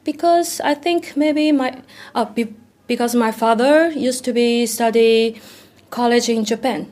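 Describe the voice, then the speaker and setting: A young woman from Taiwan, answering interview questions in halting English, pausing to find words and laughing often. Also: fairly high pitch, darkish tone. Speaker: singer guest.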